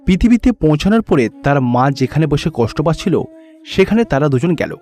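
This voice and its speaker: Male narrator for cartoon fable: high voice, scripted storytelling, music underneath. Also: big pitch swings, brisk, a little mellow.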